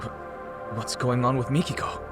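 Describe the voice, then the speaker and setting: An actor playing an anxious, easily shaken middle-aged father in a game cutscene, speaking in a proper but halting, emotional way. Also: medium pitch, steady tone, loud music behind the voice, lightly acted. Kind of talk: dramatic game cutscene dubbing.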